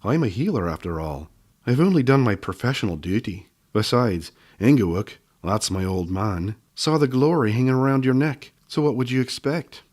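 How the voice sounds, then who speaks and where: A man voicing a cranky old female gnome healer who scolds and bosses in a sharp, snappish way. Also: medium pitch, normal speed, close to an everyday voice. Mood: scolding.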